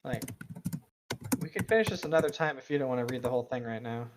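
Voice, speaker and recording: A man's voice: mid-range voice, fast, background noise. A man co-hosting a casual fan fiction read-aloud, slipping between mock dramatic reading and sarcastic, slangy asides.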